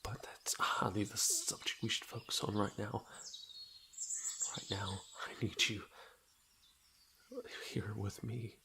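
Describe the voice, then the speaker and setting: A man playing a commanding fantasy lord in an intimate audio roleplay, speaking in a measured, halting way that drops at times into whispers. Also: lowish voice, fairly even intonation, gravelly. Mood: pained, strained. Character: commanding fantasy lord, wounded, trusting his bodyguard. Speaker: voice actor. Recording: noisy outdoor nature sound effects. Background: birdsong and forest ambience.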